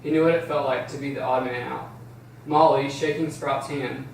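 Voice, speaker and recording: A man's voice: medium pitch, steady tone, normal speed, vocal fry. A man reading scripted stage directions as the narrator of an amateur table read. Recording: roomy, distant, faint noise.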